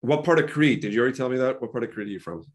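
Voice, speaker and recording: A man's voice: medium pitch, very fast, breathy edge. A middle-aged man hosting a podcast, chatting with a guest over a video call in a friendly, affirming way. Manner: friendly, affirming, frequent backchannels. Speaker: podcast host. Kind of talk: casual interview chat. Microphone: video call, webcam.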